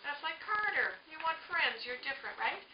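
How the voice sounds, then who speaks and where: A woman with a fairly high voice teaches young children, reading a storybook and pausing to explain things simply. She sounds some distance from the mic in an echoey room, with clear background noise. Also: very animated. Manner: explains simply, checks with short questions. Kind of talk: picture-book read-aloud with questions to children. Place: echoing room with a small group of children. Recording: echoey, distant, muffled, noisy room recording.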